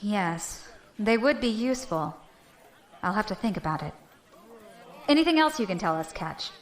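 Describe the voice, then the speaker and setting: A woman playing a composed fleet leader in a science fiction audio drama, brisk, formal and decisive. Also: mid-range voice, big pitch swings, some chatter behind, close to an everyday voice. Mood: composed, businesslike. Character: composed female fleet leader seeking tactical advice. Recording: echoey room, faint background ambience.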